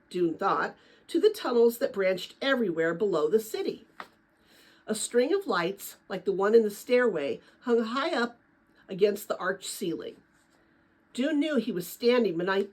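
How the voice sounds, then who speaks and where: A woman narrating a children's adventure novel as a dramatic read-aloud, with expressive, deliberate phrasing. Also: mid-range voice, low-quality recording. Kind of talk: dramatic storybook read-aloud for middle-grade listeners.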